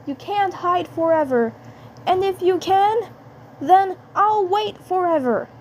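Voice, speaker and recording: Woman voicing a high-pitched, defiant boy investigator. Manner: halting, repeats phrases. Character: determined, defiant boy investigator hounding an alien. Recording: clear background noise.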